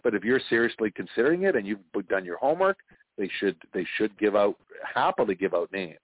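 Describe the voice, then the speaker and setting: A man's voice: on the low side, big pitch swings, a little fast, dry recording. A Canadian man, a guest on an interview, telling stories and joking in casual conversation over a call. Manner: chatty storyteller, jokes and laughs often. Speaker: interview guest, franchise consultant. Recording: tinny phone line.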